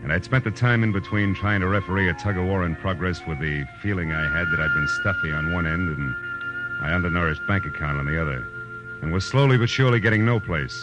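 An actor playing a wry, blunt American private detective who narrates in a tough, terse 1940s radio style. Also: male voice, low voice, quick pace, natural voice. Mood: brisk, wry. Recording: old radio recording, music behind.

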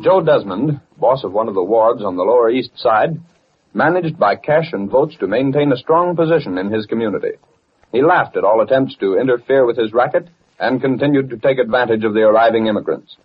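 A man's voice: mid-range voice, fairly quick, breathy edge. A man narrating a vintage radio serial as announcer, in a dramatic, declamatory and portentous scripted style. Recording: dull, muffled old radio recording.

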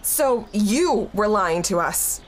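A woman's voice: mid-range voice, lively intonation, slow, slight background noise. Brash, sassy young woman. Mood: confrontational. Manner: brash, confrontational. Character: sassy, protective young female friend. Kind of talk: audio drama acting.

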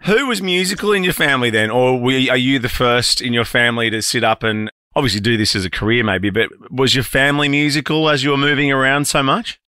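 A man's voice: mid-range voice, fast talker, few pauses, bright, forceful tone, clean tone, no echo, studio quality. A man hosting a podcast, chatty and self-joking, interviewing a guest over a video call. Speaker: podcast host. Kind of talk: casual interview.

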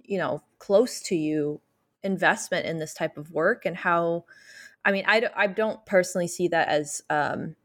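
A woman's voice: on the low side, normal speed, a bit bright. A young adult woman interviewing a scholar, asking long, thoughtful questions full of hedges. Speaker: podcast interviewer.

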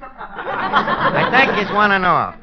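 A man's voice: high voice, huge pitch swings, slow, crowd noise, background noise, heavily acted. An actor playing a brash New York barman who mangles his grammar, in 1940s radio comedy style. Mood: wisecracking. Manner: mangles grammar and big words. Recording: muffled old radio broadcast.

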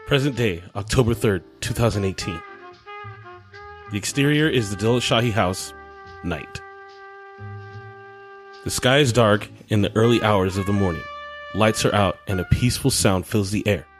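A man's voice: lowish voice, normal speed, pauses often, over music. A man reading scripted narration and stage directions for an amateur radio drama. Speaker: narrator of a script, podcast co-host.

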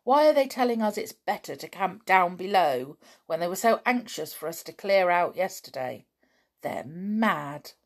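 A woman voicing a sensible older schoolboy who leads the group with firm, quiet commands. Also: fairly low pitch, expressive, measured pace. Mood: urgent.

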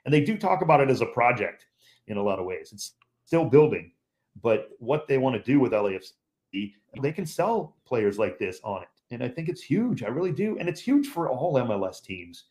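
A man's voice: mid-range voice, big pitch swings, brisk, darkish tone. A man who calls games on the radio, a guest on a podcast chat, talking in an emphatic, opinionated way and repeating points for stress.

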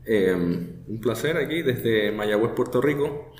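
Chilean man, roomy, halting delivery. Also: fairly low pitch, animated, darkish tone, a little hiss or hum. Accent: Chilean Spanish. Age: 30s. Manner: frequent hesitations and repeated words. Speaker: guest brewmaster. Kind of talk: relaxed conversational interview.

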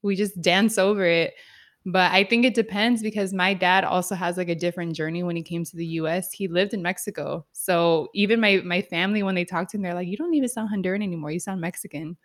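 A woman's voice: on the low side, fast talker. A woman hosting an interview, talking in a chatty, laughing way with many fillers. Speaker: podcast host. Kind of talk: casual interview conversation.

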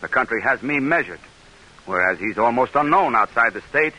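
An American actor playing a proud, shrewd middle-aged senator in an old-time radio drama. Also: male voice, medium pitch, expressive, moderate pace, sharp tone, low-quality recording. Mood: worried, calculating. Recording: vintage radio broadcast recording.

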